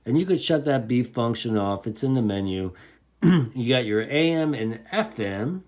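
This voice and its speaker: Regular guy doing a gear review, folksy and into it, mid pitched voice, informal. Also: animated, moderate pace, a little mellow. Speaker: radio reviewer. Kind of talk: casual product demonstration.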